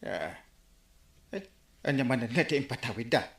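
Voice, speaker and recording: A man playing an excitable, nosy village uncle with an Ilocano accent, exclaiming and stammering in a comedy radio drama. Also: mid-range voice, moderate pace, vocal fry, echoey room. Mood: astonished, greedy.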